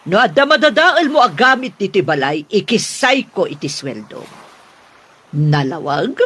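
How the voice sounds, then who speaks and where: A woman's voice: mid-range voice, sing-song, measured pace, a bit bright, husky, heavily acted. A woman playing a stern, haughty mistress of the house who scolds and gives orders in a radio drama. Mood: stern, demanding.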